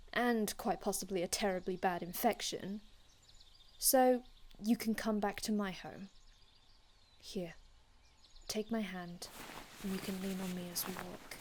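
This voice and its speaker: A woman's voice: mid-range voice, slight background noise, close to an everyday voice. A woman playing a courteous, ancient vampire healer in an ASMR roleplay, speaking formally and reassuringly with archaic phrasing and small stammers. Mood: gently reassuring. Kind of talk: ASMR roleplay, addressing the listener.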